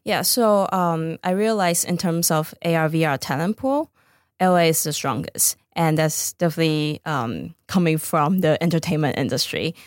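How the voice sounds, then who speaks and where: Woman, Taiwanese accent, low voice, startup founder as podcast guest, very dry recording. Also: lively intonation, moderate pace, no room sound. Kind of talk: conversational interview answers.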